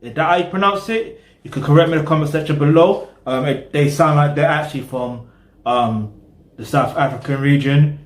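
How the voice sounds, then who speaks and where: A man's voice: a little high, fairly quick, echoey room. A British man, casually chatting through a music reaction video and rambling with lots of "you know what I mean". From Britain.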